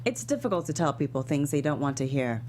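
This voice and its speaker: A woman's voice: low-pitched, very animated, quick pace, a little mellow, a little hiss or hum. An actress playing a composed, earnest famous female talk show host at a script table read. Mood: earnest, grateful.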